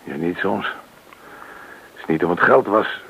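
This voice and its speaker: A methodical crime boss laying out orders. His voice is very low and full of gravel. The old radio recording sounds dull and muffled. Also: measured pace, a bit bright, full character voice. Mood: tense, weary. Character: methodical, businesslike leader of a robber gang, edgy. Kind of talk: Dutch radio play acting.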